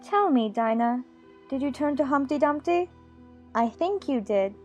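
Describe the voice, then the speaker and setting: A woman's voice: high-pitched, animated, unhurried, darkish tone, faint music behind. A woman playing a chatty, imaginative little girl in an audiobook, fond and bossy, prattling on in playful scolding. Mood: chatty, musing. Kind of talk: dramatized audiobook character acting.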